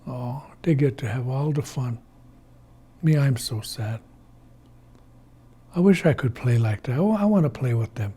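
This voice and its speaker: A man voicing a childish, sulky trickster in an oral folktale, whining, begging and excitable. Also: medium pitch, quick pace, few pauses, husky. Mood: self-pitying. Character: childish, sulky, pleading trickster who turns greedy.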